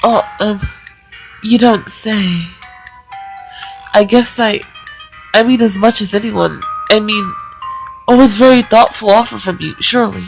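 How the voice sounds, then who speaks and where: A woman's voice: mid-range voice, unhurried, creaky, pauses often, faint music behind, low-quality recording. A woman voicing a timid, flustered young mage in a visual novel, hesitant, stammering and deferential. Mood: flustered, awkward. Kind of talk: visual novel character read-aloud.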